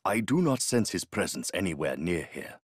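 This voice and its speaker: A man playing a serene, wise old yoga master in a video game, speaking in a measured, solemn way. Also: deep voice, sing-song, breathy edge, dry recording, clean studio sound, exaggerated voice. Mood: calm, solemn.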